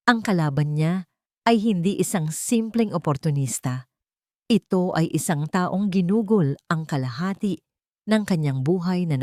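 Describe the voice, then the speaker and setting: A woman's voice: on the low side, darkish tone, no room sound, studio quality. A woman narrating a scripted dramatic story in a solemn manner.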